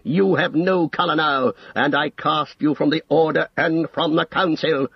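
A man's voice: mid-range voice, moderate pace, slightly rough, no echo, lo-fi sound. A man voicing a stern, weary old male wizard who speaks with commanding authority in an audiobook story.

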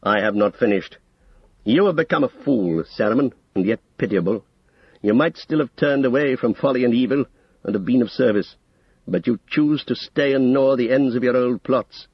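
A man's voice: medium pitch, moderate pace, slightly rough, no room sound, dull audio. A man voicing a stern, weary old male wizard who speaks with commanding authority in an audiobook story.

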